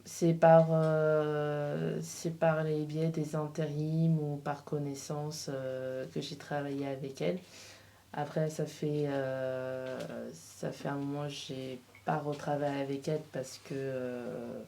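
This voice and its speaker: A woman's voice: sits low, flat delivery, slow, dark tone, a little hiss or hum. A woman guest answering questions in a casual interview, often hesitating and searching for her words. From Portugal. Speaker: interview guest, freelance embroiderer.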